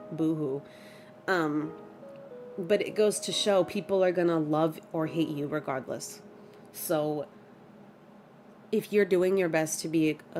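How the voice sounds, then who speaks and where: A woman in her mid-twenties chatting casually on a gaming livestream, reading chat and reacting aloud. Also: fairly low pitch. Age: 20s. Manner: chatty, animated, reacts with gasps and laughs.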